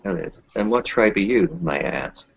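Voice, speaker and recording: A man playing a polite, easygoing member of a werewolf tribe in a roleplaying game, speaking in a measured way. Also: low-pitched, expressive, vocal fry, slight background noise. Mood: courteous, unruffled. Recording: dull lo-fi voice recording.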